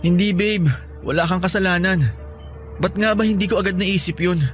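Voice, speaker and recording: A man's voice: high-pitched, fairly even intonation, normal speed, soft background music, natural voice. A man with a Filipino accent playing a worried, earnest young boyfriend and dutiful son in radio drama dialogue. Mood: worried, pensive.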